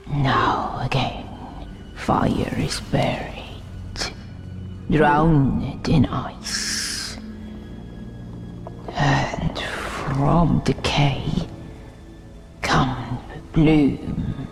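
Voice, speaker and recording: Creaky, whispering ancient female forest spirit. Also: sits low, exaggerated voice. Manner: solemn, cryptic, archaic phrasing in short fragments. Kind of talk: cinematic fantasy game acting. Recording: music behind the voice.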